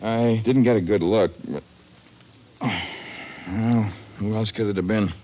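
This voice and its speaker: An actor playing an earnest, defensive wounded airline man in a 1950s radio drama, halting yet emphatic. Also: lowish voice, warm tone.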